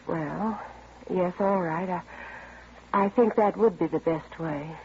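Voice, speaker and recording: A woman's voice: on the low side, takes it slowly, dark tone. A woman playing a grieving, wealthy widow in an old-time radio drama, speaking with subdued, hesitant dignity. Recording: muffled vintage radio recording, faint noise.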